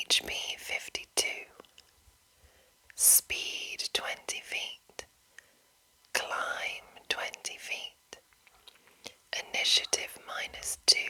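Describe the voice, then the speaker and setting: A woman whispering breathily in an ASMR style, reading aloud in deliberate short phrases with soft commentary. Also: rough recording. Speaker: ASMR host reading a monster manual.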